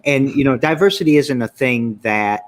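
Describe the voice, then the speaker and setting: A man's voice: mid-range voice, moderate pace. A man with a Michigan accent hosting a casual interview, talking enthusiastically and rambling through his thoughts. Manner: rambling, enthusiastic, trails off and restarts. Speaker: show host.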